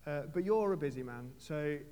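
A man's voice: fairly high pitch, lively intonation, moderate pace, a little mellow. A man interviewing a guest, asking long, rambling questions with frequent hesitations. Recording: echoey hall.

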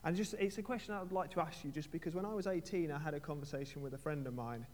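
A man's voice: a little high, racing. Hesitant male interviewer in a hall. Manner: rambling, hesitant questions with fillers. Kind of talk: interview questions. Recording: echoey hall.

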